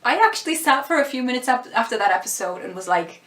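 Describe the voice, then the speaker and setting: A woman's voice: fairly high pitch, expressive, fast, fairly bright tone, echoey room. A woman vlogger talking through her thoughts on a TV series, musing and restarting her sentences, with a voice she says is going. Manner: thoughtful, musing, frequent restarts and small laughs. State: losing her voice. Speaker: vlogger reviewing a TV show. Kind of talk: casual vlog commentary.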